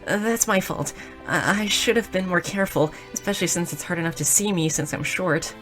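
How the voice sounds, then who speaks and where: A woman's voice: lowish voice, fairly quick, a bit bright, lightly acted. A woman playing a shy, clumsy, sweet young goblin girl who stammers earnestly in an intimate romantic audio roleplay. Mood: embarrassed, apologetic. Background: music.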